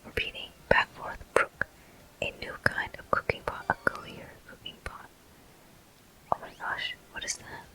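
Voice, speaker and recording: Slow, bright ASMR gaming whisper. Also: poor audio. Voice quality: breathy, unvoiced whisper. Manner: gentle, unhurried, soothing. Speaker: gaming host. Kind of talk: whispered ASMR game commentary.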